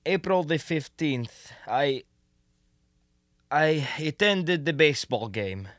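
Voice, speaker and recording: An actor playing a mild, middle-aged Soviet clerk in a comic radio play, hesitant and earnest. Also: male voice, fairly high pitch, animated, takes it slowly, fairly bright tone, dry recording, studio quality. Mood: sheepish.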